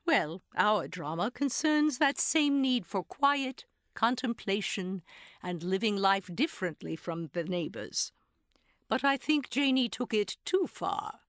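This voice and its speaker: A woman's voice: medium pitch, lively intonation, unhurried, a bit bright, no echo, studio quality. A woman acting as host and announcer of an audio drama, delivering a scripted, formal theatrical introduction.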